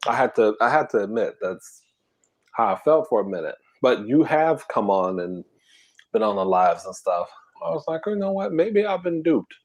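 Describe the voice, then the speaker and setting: A man hosting a casual eating stream, talking softly and half-whispering in a relaxed, drowsy way while reading comments. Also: medium pitch, moderate pace. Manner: soft, quiet, half-whispered talk. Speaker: ASMR mukbang channel host.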